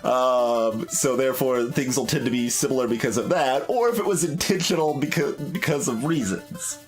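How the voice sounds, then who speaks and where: A man's voice: mid-range voice, normal speed. A man giving casual, analytical game commentary, thinking aloud in a hesitant way. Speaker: video game streamer. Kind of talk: casual analytical live playthrough commentary with poem read aloud. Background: music.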